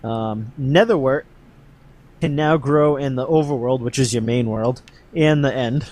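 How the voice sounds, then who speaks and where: A man hosting a podcast, casually explaining game update news in a halting, hedging way. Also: medium pitch, a little mellow.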